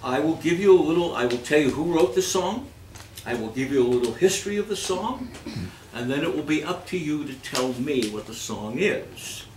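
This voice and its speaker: A man's voice: fairly high pitch, expressive, moderate pace, a little mellow. A man hosting a live holiday reading and quiz on stage, with a theatrical, jokey way of talking. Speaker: host of a community holiday reading and song quiz. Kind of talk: live stage reading and playful audience banter. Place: indoor community event before an audience. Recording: echoey room, distant mic, noisy audience.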